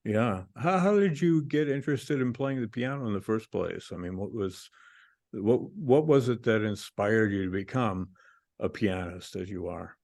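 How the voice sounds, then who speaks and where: A man hosting a classical music radio interview, asking measured, polite questions with small hesitations. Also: fairly low pitch, creaky, darkish tone. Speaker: radio host.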